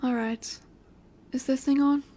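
High-voiced, flirty female mafia boss, acted by a woman. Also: steady tone, warm tone. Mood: composed, sly. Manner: coy, teasing, deliberate, sometimes breathy. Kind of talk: scripted audio roleplay, addressing the listener.

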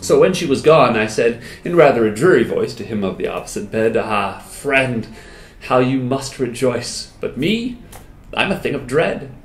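A man in his thirties voicing a wistful, lovestruck wounded soldier in a theatrical, halting dramatic poetry recital with expressive pauses. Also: mid-range voice, voice pushed down, natural voice. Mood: melancholy. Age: 30s. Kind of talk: dramatic poetry recital, first-person monologue. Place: small indoor room with hard walls. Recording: roomy echo, music behind.

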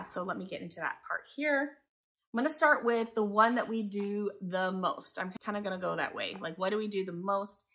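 A woman's voice: mid-range voice, a bit bright. A woman in her forties from Taiwan, a homeschooling mother talking in a casual, rambling, conversational vlog style. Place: living room by a window, indoors.

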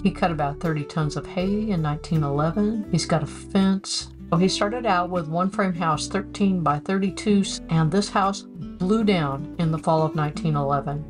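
A woman's voice: on the low side, normal speed, few pauses, over music. A woman in her sixties, host of a family history channel, reading old documents aloud with chatty, wry asides in a home office. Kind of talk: casual explanatory narration over documents.

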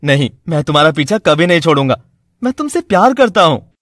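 A man's voice: fairly high pitch, big pitch swings, a little fast, a bit bright, dry recording, studio quality. A man playing a devoted, worried young college student in a cartoon story, earnest and reassuring.

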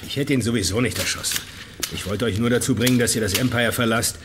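An actor in a radio crime drama playing a stubborn, weary ex-agent with a dry, blunt, sardonic way of talking. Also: male voice, medium pitch, quick pace, noisy background, natural voice.

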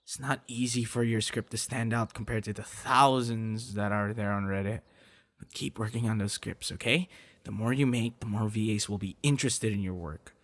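A young man playing a playful, affectionate boyfriend in an ASMR audio roleplay, with a teasing, encouraging, slightly halting delivery. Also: fairly low pitch, fairly even intonation. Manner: teasing, encouraging, halting with soft laughs and gasps. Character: playful, affectionate young male voice actor on a date.

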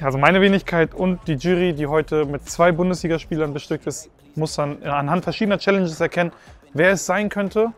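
A young man hosting a football show in an indoor hall, talking in an animated, joking way. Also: high voice. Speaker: host. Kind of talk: excited casual chat.

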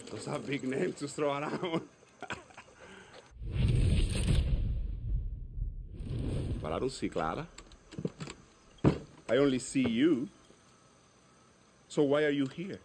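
Eccentric game rebel: cocky, teasing, animated delivery, noisy outdoor night. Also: male voice, fairly high pitch, unhurried, long pauses. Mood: probing, teasing. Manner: cocky, teasing, repetitive patter. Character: eccentric, playful, jumpy male guerrilla tinkerer. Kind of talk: video game cutscene acting. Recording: clear outdoor night noise, crickets.

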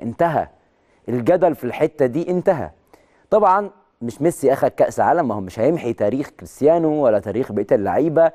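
A man in his thirties with an Egyptian Arabic accent and a hoarse voice, presenting sports news in a studio and talking emphatically, with excited peaks on key words. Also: medium pitch. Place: large TV studio with video wall.

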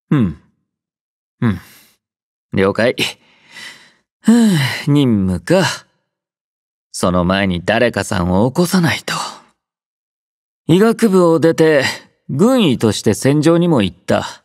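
A sleepy teasing young army doctor. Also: male voice, mid-range voice, slow pace, long pauses, no room sound, clean studio sound. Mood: easygoing teasing. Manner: relaxed, drowsy, gently teasing. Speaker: game character voice lines. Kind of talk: game voice collection. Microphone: close studio mic.

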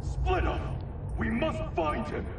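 An actor playing an ambitious, domineering ninja grandmaster villain in a video game, gravelly, commanding and forceful. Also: male voice, very high voice, expressive, slow pace, background noise, heavily acted. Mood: barking orders. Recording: loud music over distant-sounding voice.

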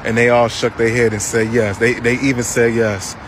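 A man telling a personal story and making an earnest appeal, deliberately, with drawn-out pauses. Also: medium pitch, flat delivery. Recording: faint outdoor traffic noise.

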